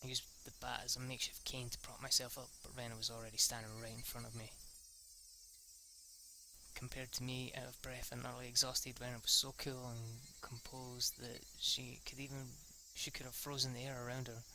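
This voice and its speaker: Young male amateur reader, bright tense tone, stumbles on lines. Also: medium pitch, little pitch movement, brisk, slight background noise, low-quality recording. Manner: stumbling over words, restarting lines. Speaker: reader of a visual novel. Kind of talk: amateur read-through narration.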